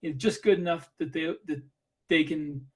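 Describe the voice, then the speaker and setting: A man with a somewhat high voice speaks as a podcast co-host in a panel chat. He is plainspoken and lays out his points in a measured, conversational way. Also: a little mellow. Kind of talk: casual panel discussion.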